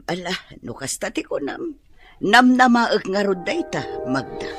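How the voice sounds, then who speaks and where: A woman playing a firm middle-aged landlady in a radio drama, husky and insistent, with a Filipino accent. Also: mid-range voice, big pitch swings, measured pace, soft background music. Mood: stern, relenting. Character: firm older boarding house landlady demanding overdue rent.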